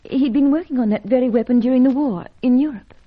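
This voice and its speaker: An actress playing a gentle, helpless young woman in an old-time radio adventure serial, earnest, tremulous and polite. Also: high-pitched, fast. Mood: earnest, wistful. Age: young adult. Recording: dull, lo-fi radio serial recording.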